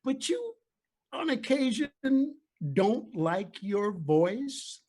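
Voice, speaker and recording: Male co-host, somewhat high-pitched, folksy and effusive, casual banter. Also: big pitch swings, very slow, darkish tone. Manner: effusive, folksy, calls people buddy and man. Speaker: talk show co-host.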